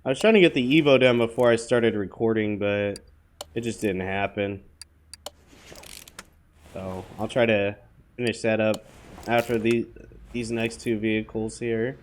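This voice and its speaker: A man with a hoarse voice from a cold gives rambling, offhand commentary while playing, talking to viewers with plenty of fillers. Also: lowish voice, steady tone, unhurried, long pauses, slight background noise. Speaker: gaming channel host. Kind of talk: casual gameplay commentary.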